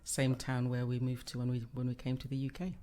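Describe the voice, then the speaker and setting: Woman guest from East Africa on a podcast, easygoing and talkative, quick to laugh, many fillers. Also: a bass voice, monotone, dark tone. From born in Uganda, raised in Kenya and Tanzania, UK since age eleven. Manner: chatty and open, laughs easily, many fillers. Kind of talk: conversational podcast interview.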